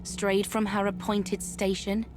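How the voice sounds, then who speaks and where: An actress playing a stern, solemn ancient guardian spirit in a video game, formal, stately and reproving. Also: female voice, mid-range voice, level tone, takes it slowly, background noise, close to an everyday voice. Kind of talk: archaic, formal game drama. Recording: music playing behind the voice.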